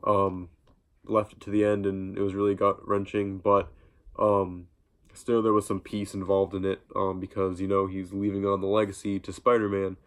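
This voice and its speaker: A man's voice: sits low, little pitch movement, normal speed, clean tone. A young man with a stuffy nose from a cold, chatting casually in a vlog and rambling with lots of ums and restarts. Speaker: YouTube vlogger. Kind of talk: casual reaction vlog talk.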